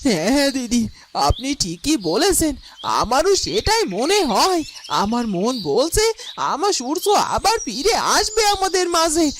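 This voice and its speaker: A woman's voice: high-pitched, big pitch swings, moderate pace. A woman voicing a devoted, hopeful wife and mother in a fairytale cartoon, emotional and insistent. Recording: noisy track with birdsong behind.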